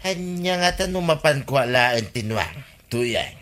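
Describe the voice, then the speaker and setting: An actor playing a teasing, impulsive village neighbour in an Ilocano radio comedy drama, with lots of exclamations. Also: male voice, mid-range voice, animated, takes it slowly, a bit bright. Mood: scheming.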